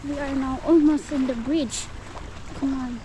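Young woman, slow unhurried talk, chatty vlogger, outdoor beach, loud surf behind. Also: high voice, steady tone. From Philippines. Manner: chatty, addresses viewers directly. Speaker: travel vlogger. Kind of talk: casual walking vlog. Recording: noisy outdoor beach audio. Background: waves and surf.

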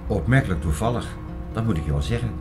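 A man's voice: lowish voice, animated, fast talker, close to an everyday voice. A man playing an earnest theorist in an audio drama, lecturing in an emphatic, didactic and insistent way. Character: earnest male theorist expounding an apocalyptic conspiracy. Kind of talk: Dutch audio drama acting, lecturing monologue. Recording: prominent music bed under the voice.

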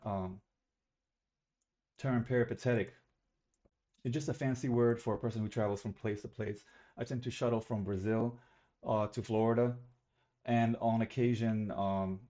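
A man's voice: medium pitch, dark tone, lo-fi sound. A young man from Algeria giving a recorded student presentation on a webcam, talking casually and correcting himself as he goes. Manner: casual, self-correcting, slightly rambling.

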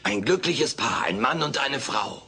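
A man's voice: medium pitch, big pitch swings, unhurried, sharp tone, breathy edge, heavily acted. A man playing a wisecracking, meddling furry alien houseguest in a theatrical, mock-grand manner, in a dubbed sitcom audio play. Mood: jovial, showy.

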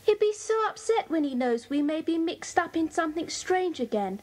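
A woman's voice: very high voice, expressive, normal speed, darkish tone. A woman playing a concerned, earnest schoolchild, persuading others in a children's audio drama. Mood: concerned, pleading. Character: concerned, pleading school-age child of secret society.

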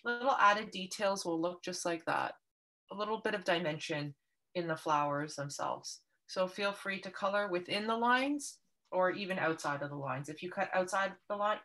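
A woman's voice: mid-range voice, sharp tone. A woman from Canada leading an online paper craft workshop, explaining each step patiently and kindly over a video call. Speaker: art workshop instructor.

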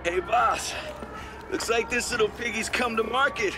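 Very high gloating gang henchman. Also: normal speed. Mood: gleeful menace. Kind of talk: video game dramatic acting.

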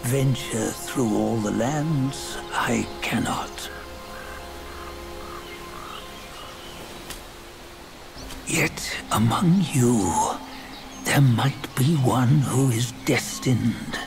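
A weary old storyteller in a fantasy game. He speaks extremely slowly and solemnly, with a creaky, gravelly voice and long dramatic pauses. Music plays behind his reverent narration. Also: medium pitch. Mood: hushed, hopeful. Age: older.

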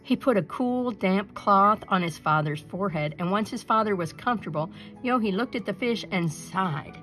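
A woman reading a children's picture book aloud as a storyteller, in an expressive narrating style. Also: mid-range voice, moderate pace, faint music behind.

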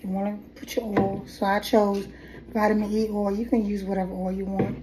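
A woman's voice: medium pitch, flat delivery, brisk, dark tone, slight background noise, low-quality recording. Chatty woman with a head cold. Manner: rambling and chatty, with restarts. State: has a head cold, coughing. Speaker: hair vlogger. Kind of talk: casual DIY tutorial. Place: kitchen table at home, indoors. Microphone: phone camera at table.

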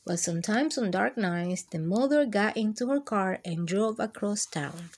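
A woman hosting children's storytime, reading aloud expressively and deliberately, with asides to her young listeners. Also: medium pitch, expressive, a little mellow.

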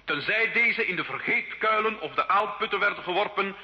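A man's voice: sits high, fairly quick, close to an everyday voice. An actor in an old radio drama playing a stern, solemn church inquisitor, formally declaiming his accusations. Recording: hall-like echo, dull old radio recording.

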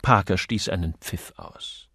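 A man's voice: low voice, a little slow, sharp tone, breathy edge, no room sound, studio quality. A man narrating a crime novel in a scripted audiobook style, reading the dialogue expressively.

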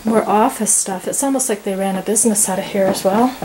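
A woman's voice: mid-range voice, brisk. A woman giving casual, unscripted running commentary in short fragments as she explores empty rooms with a handheld camera. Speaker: urban explorer and host. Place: inside rooms of an empty, abandoned house. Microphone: handheld camera microphone held by the speaker. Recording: handheld camera with clear background noise. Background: ambient noise.

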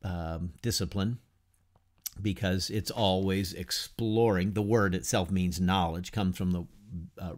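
A man in his fifties giving an informal class lecture from a home study, unhurried and conversational, asking rhetorical questions and using many fillers. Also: low-pitched. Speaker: teacher of an adult church class. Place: home study lined with bookshelves, whiteboard beside him.

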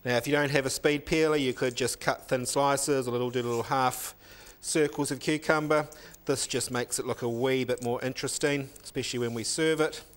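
A man's voice: medium pitch, fairly even intonation, fairly quick, few pauses. A middle-aged man, a chef in a teaching kitchen, explaining each step of a recipe while he cooks, on a lapel mic. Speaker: cooking show chef. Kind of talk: cooking demonstration.

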